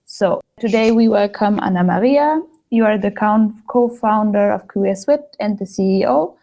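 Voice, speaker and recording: A woman hosting a podcast, reading a steady, slightly halting introduction to her guest. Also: mid-range voice, vocal fry. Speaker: podcast host.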